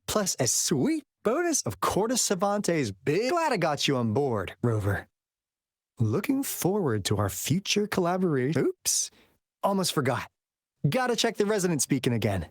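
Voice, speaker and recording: An actor playing a breezy young man, a secretive consultant in an anime game, smooth-talking, playful and theatrical. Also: high-pitched, dry recording, studio quality, full character voice.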